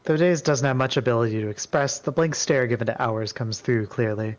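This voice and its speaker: A man's voice: medium pitch, brisk, lo-fi sound. A man reading scripted story narration as part of a group roleplay dramatic reading.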